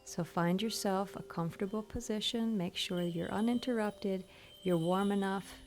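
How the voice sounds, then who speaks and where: A woman leading a guided meditation in a soothing, gentle, instructional manner. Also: medium pitch, moderate pace. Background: soft meditation music with chimes.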